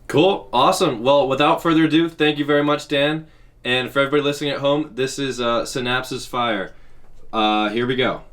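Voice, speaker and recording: A man hosting a casual radio show and chatting with a guest in a relaxed, friendly way, with plenty of fillers. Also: mid-range voice, fairly quick. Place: radio studio.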